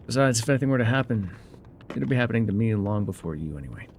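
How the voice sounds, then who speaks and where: Male actor as haunted, laconic frontier guide for immersive ASMR drama; gravelly, calm and folksy with expressive swings, slight rumble behind. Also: close to an everyday voice. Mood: reassuring. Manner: unhurried, musing, folksy, trailing pauses. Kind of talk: immersive ASMR roleplay monologue.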